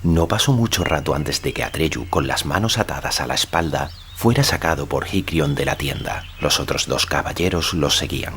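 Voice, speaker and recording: A man narrating a literary audiobook in a measured, solemn storytelling manner. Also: very deep voice, lively intonation, fairly bright tone, a little hiss or hum. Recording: faint music behind the voice.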